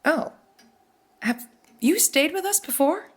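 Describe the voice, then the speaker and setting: A woman's voice: sits high, animated, slow. An actress playing a practical, kindly guesthouse keeper and mother in an audio drama, with matter-of-fact, obliging, naturalistic delivery. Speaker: guesthouse landlady.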